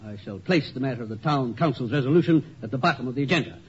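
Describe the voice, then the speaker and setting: A man's voice: medium pitch, animated, racing, natural voice. A muffled old radio recording of an actor as a college board chairman. He is stiff, formal and officious, with a strongly inflected, starchy delivery. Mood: coldly formal. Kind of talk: 1950s radio comedy acting. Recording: muffled, faintly noisy 1950s radio recording.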